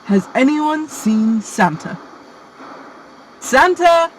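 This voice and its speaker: A woman's voice: high-pitched, lively intonation, extremely slow, slight background noise, exaggerated voice, low-quality recording. A woman playing a bossy, sarcastic, exasperated supervisor in Santa's workshop in a radio play. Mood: scolding. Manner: scolding, exasperated.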